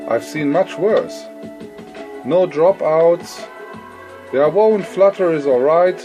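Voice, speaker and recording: A man's voice: fairly high pitch, lively intonation, slow, over music. A man giving an informal, unscripted demonstration as a hobbyist, speaking hesitantly and repeating phrases for emphasis. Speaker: hobbyist presenter demonstrating vintage audio gear.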